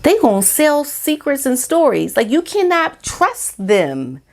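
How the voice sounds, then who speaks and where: A woman's voice: sits high, huge pitch swings, a little slow. An American woman giving informal opinion commentary as a vlogger, speaking in a sassy, emphatic, colloquial way.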